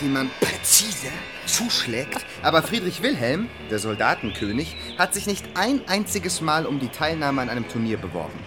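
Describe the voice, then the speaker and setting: An actor playing a grandiose history narrator in a radio play, delivering scripted narration with dramatic relish. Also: male voice, mid-range voice. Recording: loud music under the voice. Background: music.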